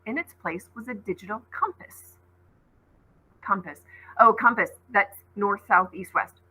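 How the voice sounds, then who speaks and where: A woman teacher reading a novel aloud to her class, in an expressive storytelling manner. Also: measured pace, husky, poor audio. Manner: expressive read-aloud, voices rising high on called names.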